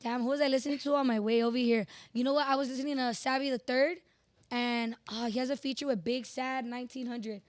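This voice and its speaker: A woman, hoarse from hosting events, chatting candidly as a podcast guest and telling animated, slangy stories. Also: high voice, quick pace. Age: 20s. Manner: animated storyteller, slangy and profane, emphatic. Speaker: podcast guest, radio personality. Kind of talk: candid casual interview chat.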